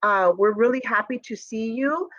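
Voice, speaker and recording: A woman's voice: a little high, moderate pace, a bit bright, muffled recording. A woman reading a picture book to young pupils, expressively and with direct asides to her listeners. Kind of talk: children's read-aloud with asides.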